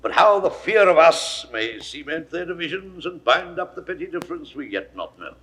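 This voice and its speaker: An actor playing a proud, confident, scornful Roman rebel leader in a 1960s Shakespeare audio drama, with emphatic oratorical delivery. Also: male voice, on the high side, very animated, moderate pace, a bit bright. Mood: resolute. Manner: oratorical, emphatic declaimed verse.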